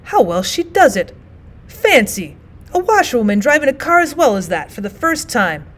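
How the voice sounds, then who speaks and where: A well-bred storybook gentleman out motoring, as a woman reader plays him. He is sympathetic and solicitous, with polite exclamations and a lightly raised pitch. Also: sing-song, normal speed. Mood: hearty approval.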